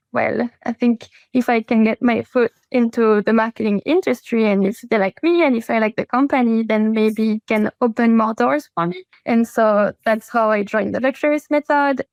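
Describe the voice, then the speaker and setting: A young woman from France speaks English with a clear French accent. She talks earnestly and casually, with plenty of fillers and restarts. Her slightly high voice has a gravelly fry, and it is recorded close and dry. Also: no echo. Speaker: guest, SEO content writer. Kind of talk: casual interview chat.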